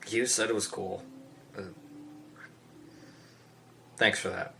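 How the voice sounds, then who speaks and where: A grieving, awkward teenage boy played by a man in a theatrical letter monologue. He speaks haltingly and very slowly in a lowish, subdued voice, with faint noise behind him. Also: level tone, creaky, pushed and bright, heavily acted, rough recording. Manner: halting, repeats himself. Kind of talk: one-act play letter monologue.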